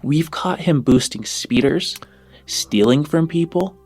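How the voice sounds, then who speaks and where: Casting: flustered orphanage woman acted by a man, raised pitch, scolding and hesitant, tabletop game, faint music. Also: animated, takes it slowly, a little mellow, dry recording, heavily acted. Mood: worried, flustered. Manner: exasperated, hesitant.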